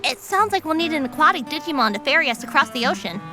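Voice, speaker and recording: Fed-up cartoon girl, played by a woman: highish, animated, practical and sarcastic, light music behind. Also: quick pace. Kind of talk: comedic animated parody voice acting.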